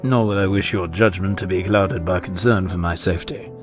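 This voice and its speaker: Man playing low, composed, devoted companion. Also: steady tone, natural voice. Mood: earnest devotion. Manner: formal, measured, earnest. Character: composed, formal, devoted male companion, calm and loyal. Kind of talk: audio drama acting. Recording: music bed under the voice.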